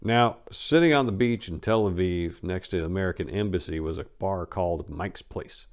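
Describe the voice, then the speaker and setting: Male storyteller and host, lowish voice, informal dramatic reading with a conversational feel. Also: normal speed.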